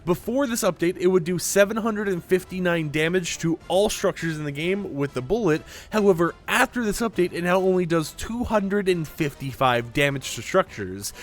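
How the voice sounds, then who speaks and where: A man's voice: sits high, animated, normal speed, hardly any pauses. Young man with a sore throat, chatting. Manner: talkative, rambling with many fillers. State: sore throat, speaking quieter. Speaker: gaming news YouTuber. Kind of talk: casual game update commentary.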